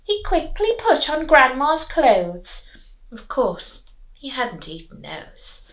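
A young woman teacher reading and chatting expressively to children, clearly enunciated. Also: sits high, huge pitch swings, takes it slowly, a bit bright. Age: young adult. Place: small living room, on a sofa.

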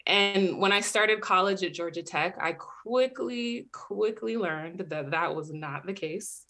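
A young American woman from New Jersey giving a measured, reflective personal talk as a PhD student, recorded over a webcam. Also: mid-range voice, bright, forceful tone. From New Jersey, USA. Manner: earnest, measured, reflective storytelling. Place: indoor room at home.

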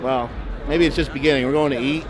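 A man's voice: medium pitch, steady tone, quick pace, poor audio. A man hosting a casual vlog, bantering and making announcements, picked up by a camera microphone. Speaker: vlog host and booth presenter. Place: busy convention hall and city street. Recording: loud crowd and music, camera audio.

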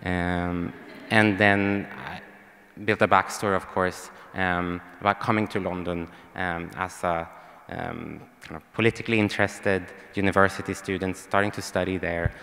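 A man's voice: low voice, little pitch movement, normal speed, vocal fry, a bit bright. A young man from Sweden with a Swedish accent, giving a hesitant panel talk with lots of ums, speaking into a handheld mic on a stage. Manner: hesitant, many ums and restarts. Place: large hall, on stage. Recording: long hall reverb.

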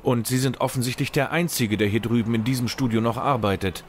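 A man's voice: medium pitch, steady tone, a little fast, slight background noise, lightly acted. An actor playing a blunt, unimpressed policeman in a German audio drama, firm, dry and matter-of-fact.

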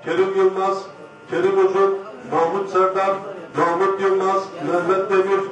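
A middle-aged official stands and reads a list formally into a microphone. His voice is high and very even, delivered in short separate items. It echoes heavily through a hall and sounds distant. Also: moderate pace, faint music behind. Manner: monotone listing, short separate phrases. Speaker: official reading out names. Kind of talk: formal roll call of names. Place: large conference hall, standing on stage. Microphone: handheld microphone.

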